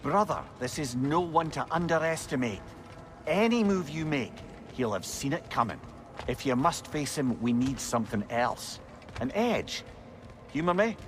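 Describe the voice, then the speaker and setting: Wry older advisor, highish voice, very animated intonation, talkative storyteller, game dialogue, background ambience. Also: male voice, a little slow, slight background noise. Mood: animated advising. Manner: chatty, wry, lilting storyteller. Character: witty, talkative older male sage and advisor. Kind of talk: dramatic video game acting.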